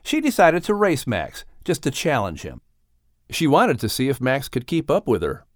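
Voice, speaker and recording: Lively male children's audiobook narrator. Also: medium pitch, moderate pace, no room sound, studio quality. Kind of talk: scripted children's story narration.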